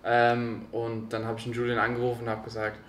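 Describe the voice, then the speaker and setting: A man's voice: medium pitch, completely flat delivery, a little fast. A man of 21 in a casual group Q&A chat, mixing youth slang with English words. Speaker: member of a social media video group. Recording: echoey room, faint background noise.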